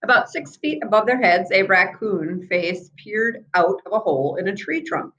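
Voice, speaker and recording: A woman reading a children's book aloud in an expressive storyteller's manner, with small asides to her listeners. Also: medium pitch, normal speed, dull audio. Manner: expressive, acts out the children's dialogue.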